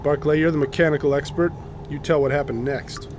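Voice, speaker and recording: A man's voice: fairly high pitch, fairly even intonation, fairly quick, background noise, lightly acted. An actor playing a serious male expedition officer, giving a firm, earnest briefing in a radio drama. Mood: grave, explanatory. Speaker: second in command of a polar expedition.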